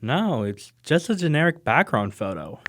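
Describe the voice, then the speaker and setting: Man acting an earnest, lively-voiced young sidekick. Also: on the high side, moderate pace. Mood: puzzled. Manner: hesitant, questioning, apologetic. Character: earnest, trusting young male detective's sidekick. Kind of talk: scripted audio drama acting.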